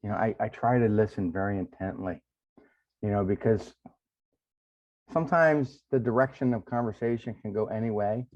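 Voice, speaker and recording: A man's voice: on the low side, normal speed. A man hosting an interview, chatting casually and telling stories with frequent restarts. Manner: relaxed storyteller, many restarts. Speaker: podcast host. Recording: dull lo-fi sound.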